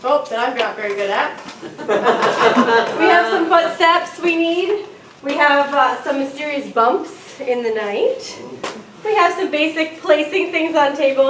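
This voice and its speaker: Female event organizer, high voice, friendly and informal, heard from a distance in an echoey room. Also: fairly bright tone. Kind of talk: informal address to a group. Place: meeting room, away from the mic. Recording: distant, echoey, slightly noisy meeting room.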